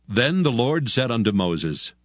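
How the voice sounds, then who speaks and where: A man's voice: mid-range voice, very animated, a little slow, no echo. A man narrating a dramatized scripture story as a scripted reading. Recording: music behind the voice.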